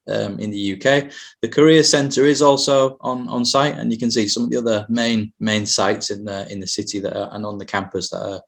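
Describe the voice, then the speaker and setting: A middle-aged man, a university recruitment manager, giving a measured, explanatory webinar presentation over a video call microphone. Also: lowish voice, creaky.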